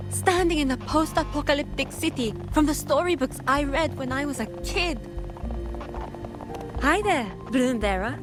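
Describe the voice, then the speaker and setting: An actress playing an earnest, analytical young field researcher in a game, explaining things crisply. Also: female voice, high voice. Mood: wistful. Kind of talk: game dialogue acting. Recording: music behind the voice.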